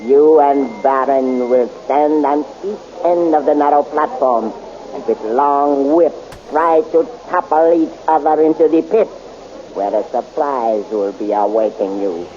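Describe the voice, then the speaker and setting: A man's voice: mid-range voice, unhurried, dark tone, natural voice. An actor playing a cruel, haughty male tyrant emperor in a 1930s radio serial, commanding and menacing, on a muffled old recording with hiss.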